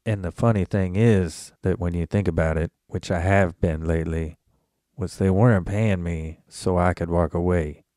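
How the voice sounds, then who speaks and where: A man voices a resolute rancher father in a Western audio drama. His voice is low and soft-edged, recorded dry. He speaks plainly and folksily, weary and stubborn but tender underneath. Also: steady tone, normal speed, dry recording, lightly acted. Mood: bitter, reflective.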